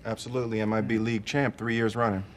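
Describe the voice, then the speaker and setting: A man's voice: fairly low pitch, level tone, quick pace, natural voice. An actor playing a wisecracking male secret agent, joking and sarcastic with plenty of slang. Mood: wry.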